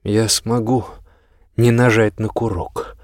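A man's voice: fairly low pitch, flat delivery, slow pace, no echo, clean studio sound. A man voicing a sick, exhausted and stubborn young earl in an audiobook, speaking in a weary, curt way. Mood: weary, defensive.

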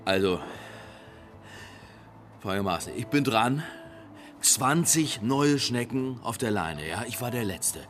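A man's voice: mid-range voice, expressive, a little slow, pauses often, fairly bright tone, faint music behind. Chatty, boastful man. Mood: boastful, rambling. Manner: rambling, boastful, jovial. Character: crude, chatty male politician boasting of boyhood games. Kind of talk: naturalistic radio drama acting.

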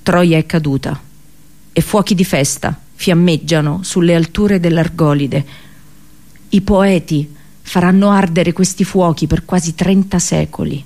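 An actress playing an accursed princess and prophetess in a radio drama monologue, with a solemn, intense storytelling delivery. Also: female voice, lowish voice, steady tone, unhurried, mellow. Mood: grave, scornful. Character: Cassandra, bitter accursed Trojan princess and prophetess. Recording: voice over background music. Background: music.

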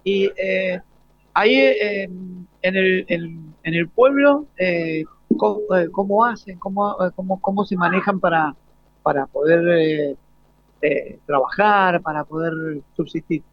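A man's voice: high-pitched, no room sound, highs cut off. A man with an Argentine accent conducting a live radio interview, hesitating and repeating words as he forms his questions. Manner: halting, repeats words while forming questions.

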